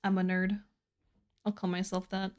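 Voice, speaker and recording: A woman's voice: medium pitch, completely flat delivery, moderate pace, highs cut off. Giggly chatty woman streamer. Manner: chatty, giggly, self-deprecating. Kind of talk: casual chat with viewers while drawing.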